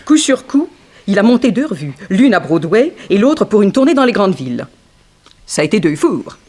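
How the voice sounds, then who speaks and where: An actress playing a frank, wry young dancer in an early 1960s French radio mystery, theatrical and gossipy. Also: female voice, medium pitch, big pitch swings, normal speed, darkish tone. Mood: disdainful, gossipy.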